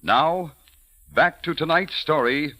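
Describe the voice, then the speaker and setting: A man's voice: fairly high pitch, takes it slowly, pushed and bright. A man with an American accent announcing in polished, emphatic 1940s radio style.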